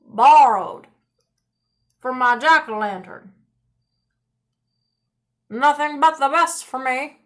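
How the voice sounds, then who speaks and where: A woman voicing a sly, calm, self-satisfied ancient wizard in a children's storybook read-aloud. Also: sits high, slow, pauses often. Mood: calm, musing. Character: sly, unhurried nine-hundred-year-old wizard.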